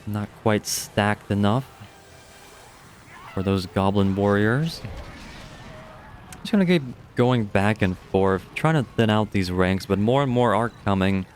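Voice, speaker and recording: A young man with a deep, low voice casually commentates a live strategy game match from his home streaming setup. His delivery is chatty and relaxed, with occasional offhand swearing. A faint ambient hum sits beneath the close studio microphone sound. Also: measured pace, pauses often. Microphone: studio dynamic microphone.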